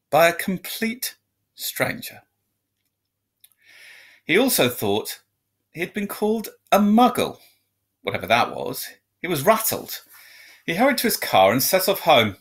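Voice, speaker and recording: A man reading a children's story aloud like a teacher, in expressive narration. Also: fairly high pitch, husky.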